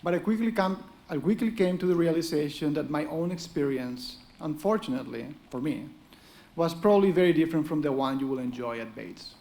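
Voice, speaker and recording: Spanish-accented guy, middle-aged, speaking at a podium, voice a bit high with lots of gravel. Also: a little fast, mellow. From Spain. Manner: earnest, encouraging address with occasional dry humor. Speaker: economics professor. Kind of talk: formal ceremonial speech.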